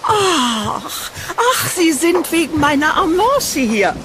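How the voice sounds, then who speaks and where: A woman's voice: high-pitched, very animated, slow, a bit bright, slight background noise, heavily acted. A woman playing a sweet, old-fashioned elderly landlady with a fussy, motherly manner in an audio drama. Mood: welcoming, fussy. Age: older.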